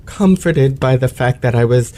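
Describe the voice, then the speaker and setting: A man's voice: medium pitch, moderate pace, warm tone, close to an everyday voice. A man playing a pompous, self-pitying male lecturer with florid, precise elocution in an old-fashioned radio play. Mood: indignant.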